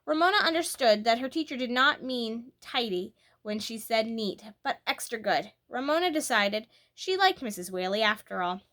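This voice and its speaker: Bright-voiced woman reading a children's story. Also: on the high side, lively intonation, normal speed, few pauses. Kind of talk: expressive read-aloud narration of a children's story.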